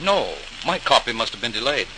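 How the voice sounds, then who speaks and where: A man's voice: mid-range voice, sing-song, a little fast, natural voice. Male actor as a dry, doubtful doctor for vintage radio drama; very varied intonation, noisy aged recording. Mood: dry skepticism. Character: skeptical, stuffy male physician, dryly unimpressed. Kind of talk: 1940s radio acting.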